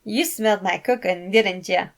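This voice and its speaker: A woman voicing a warm, nosy, affectionate sister and mother who teases and gently bosses. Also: mid-range voice, moderate pace, gravelly, natural voice. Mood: affectionate, teasing. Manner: affectionate, teasing.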